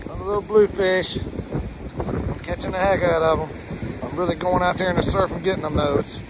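A man talks casually behind a handheld phone on an open beach, his voice fairly high for a man. Loud wind buffets the mic and surf crashes behind him. His remarks are relaxed and drawling. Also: a little fast. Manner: easygoing, chatty, drawling remarks. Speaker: vlogger filming a fishing trip. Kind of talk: casual narration behind the camera. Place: windy ocean beach outdoors. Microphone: handheld phone camera.

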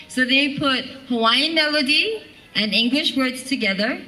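A woman's voice: high voice, animated, measured pace, pushed and bright, rough recording. A middle-aged woman emceeing a hula show on an outdoor stage, chatty and coaxing, directing children as she explains the dances. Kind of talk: live stage emcee with singing. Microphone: stand mic on stage, camera out in the audience.

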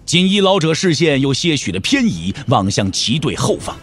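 A man's voice: a little high, big pitch swings, normal speed, breathy edge. A man narrating a martial-arts audio drama in a dramatic, scripted storytelling style.

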